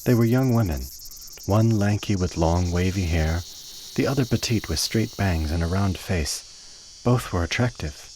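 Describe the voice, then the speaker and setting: A man narrating a radio play in a measured storytelling manner. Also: low-pitched, a little mellow, a little hiss or hum, low-quality recording.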